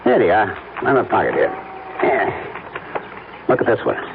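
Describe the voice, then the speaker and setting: An actor with an American accent playing a sleazy, falsely friendly blackmailing photographer in slangy, wheedling radio drama style. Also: male voice, on the low side, sing-song, a little slow, vocal fry, full character voice. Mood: sly, needling. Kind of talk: old-time radio drama acting. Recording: tinny, noisy old radio recording.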